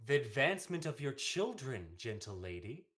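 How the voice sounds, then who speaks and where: Young actor as scheming king, mid-pitched, very animated intonation, persuasive, proud, emphatic Shakespearean verse, radio play. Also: normal speed. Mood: sly wooing. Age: young adult. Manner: emphatic formal verse delivery. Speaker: student actor.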